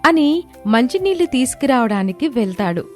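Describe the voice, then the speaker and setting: A woman's voice: high-pitched, very animated, moderate pace, darkish tone, faint music behind. A woman giving scripted narration of a moral story as its storyteller.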